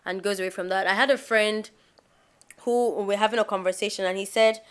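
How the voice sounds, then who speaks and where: A woman's voice: mid-range voice, fairly quick, clear, resonant tone. A young Nigerian woman co-hosting a casual podcast chat, telling stories with emphatic reactions. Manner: animated storyteller, emphatic. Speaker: podcast co-host.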